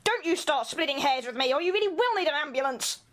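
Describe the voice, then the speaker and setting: A woman's voice: sits high, animated, fast talker, fairly bright tone. A woman playing a bossy, exasperated take-charge passenger with a stern, cutting manner in an amateur radio play.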